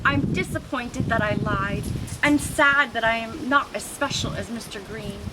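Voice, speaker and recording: A woman reading a children's story aloud in an animated, expressive way as the lizard narrator. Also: on the high side, unhurried, very noisy.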